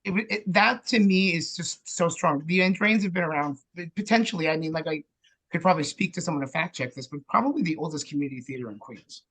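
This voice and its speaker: An American man chatting casually as an interview guest, animated and haltingly thinking aloud. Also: a little high, rough recording. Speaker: actor, director and playwright, podcast guest.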